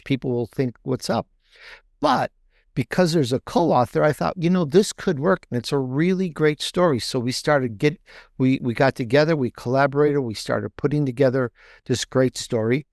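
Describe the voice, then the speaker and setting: Male interview guest, mid-pitched, conversational and halting, rephrases as he goes, close dry recording. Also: gravelly, dry recording. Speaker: podcast guest, author and podcaster.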